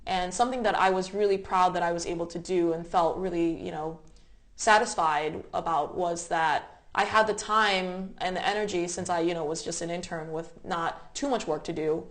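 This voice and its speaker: A woman's voice: fairly low pitch, steady tone, normal speed. A young woman in her twenties from the United States, telling personal stories in a thoughtful, explanatory way as an interview guest. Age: 20s. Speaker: interview guest, refugee resettlement advocate. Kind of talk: reflective personal storytelling in conversation.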